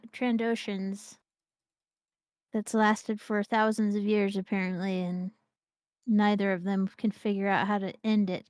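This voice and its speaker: A woman's voice: mid-range voice, darkish tone, no room sound. A woman co-hosting a game stream, chatting in a playful, rambling way and often restarting her sentences. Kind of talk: casual gameplay chat.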